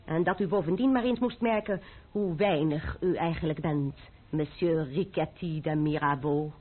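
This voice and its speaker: A woman's voice: deep voice, normal speed, breathy edge. An actress playing a proud, defiant young French noblewoman in a Dutch radio play, haughty and scornful in manner. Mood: haughty, reproachful. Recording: narrow-band, muffled old radio recording.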